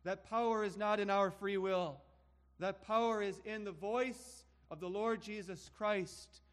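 A man preaching a sermon, slow and emphatic, building his points through rhetorical questions. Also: high voice, steady tone, long reverb tail.

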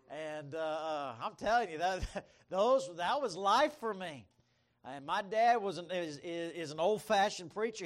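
A middle-aged man, preaching and telling his testimony in a folksy storytelling manner, swinging between loud emphasis and quiet asides. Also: fairly high pitch. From Bahamas, raised by American missionary parents. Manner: folksy, storytelling, calls for amens. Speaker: missionary preacher. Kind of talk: sermon, personal testimony.

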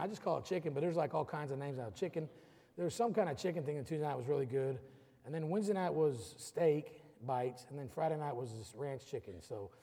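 A man's voice: medium pitch, mellow, long reverb tail. A middle-aged man preaching a sermon, urging his congregation with rising emphasis and calling the music team up. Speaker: pastor. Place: church sanctuary.

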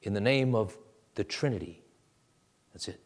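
A man preaching a sermon and leading a prayer, moving from quiet low asides to loud, high exhortation. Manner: deliberate, drawn-out preaching delivery. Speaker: pastor.

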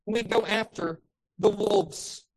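A woman pastor preaching a sermon with a loud voice, building passionately to emphatic peaks. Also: medium pitch, slow pace.

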